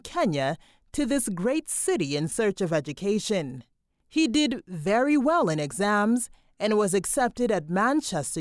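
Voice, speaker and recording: A woman narrating a documentary in a scripted, measured storytelling delivery. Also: medium pitch, no echo, studio quality.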